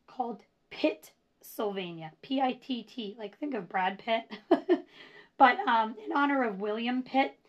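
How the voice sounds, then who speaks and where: A woman hosts her own history podcast in a loose, chatty way, wandering into self-deprecating asides. Her voice sits a bit high and is going hoarse. The recording sounds dull and lo-fi. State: losing her voice. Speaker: podcast host.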